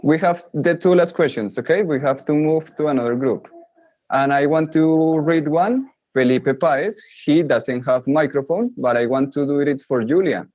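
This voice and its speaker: A man's voice: on the high side, moderate pace, a little mellow. A man moderating an online English conversation class, prompting students by name and keeping things moving. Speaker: teacher moderating a conversation group.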